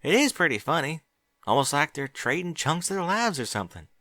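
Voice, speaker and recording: A man's voice: a little high, sing-song, fairly quick, bright, forceful tone, dry recording, clean studio sound, voice pushed up. A man voicing a spunky young filly pony girl in a fan fiction reading, with an eager, indignant way of talking. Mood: wistful.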